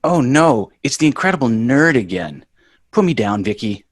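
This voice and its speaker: A man's voice: medium pitch, very animated, normal speed, no echo, clean studio sound. A man playing a bratty, exasperated young boy in a sitcom table read, brash and sarcastic.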